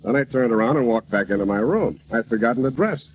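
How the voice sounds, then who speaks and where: Actor as cocky gumshoe, deadpan comedy narration with lively swoops, noisy vintage radio broadcast. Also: male voice, medium pitch, highs cut off, lightly acted. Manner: deadpan, halting tough-guy narration. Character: wisecracking hard-boiled male private eye, deadpan, cocky. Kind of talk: old-time radio detective parody.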